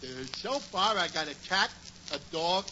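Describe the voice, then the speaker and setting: An actor playing a dim-witted but amiable tavern regular, with a doltish, hesitant drawl in old radio comedy. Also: male voice, high voice, lively intonation, moderate pace. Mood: dopey. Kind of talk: 1940s radio comedy acting. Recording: dull, hissy 1940s radio recording.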